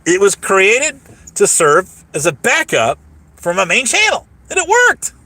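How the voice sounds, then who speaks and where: Hoarse, bright-voiced boastful man. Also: sits high, huge pitch swings, a little slow, no echo. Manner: boastful, repeats himself, laughs mid-sentence. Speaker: livestreamer. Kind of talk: rambling casual livestream talk.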